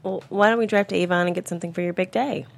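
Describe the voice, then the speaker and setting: A woman playing a curious, chatty friend, with a husky, creaky voice. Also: fairly low pitch, steady tone, very fast.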